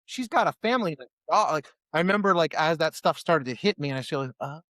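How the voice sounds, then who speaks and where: A man's voice: on the high side, quick pace, dry recording, studio quality. A man from Ohio, a musician, talking casually in an interview, rambling with many restarts and acting out his own past reactions. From Ohio, USA. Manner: rambling, many restarts and fillers, animated when quoting himself. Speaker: musician guest. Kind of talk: casual conversation.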